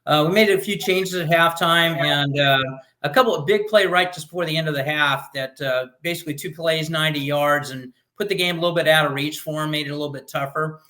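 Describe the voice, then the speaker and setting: A man's voice: fairly high pitch, a little fast, pushed and bright, low-quality recording. An American man who works as a radio sports announcer, chatting casually in an interview.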